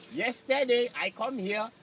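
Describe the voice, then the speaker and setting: A man's voice: very high voice, fairly bright tone, a little hiss or hum. A man placing an order in broken English, sprinkling in mangled French words, insistent and exasperated. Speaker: prank customer. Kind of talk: prank call. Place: drive-thru ordering lane.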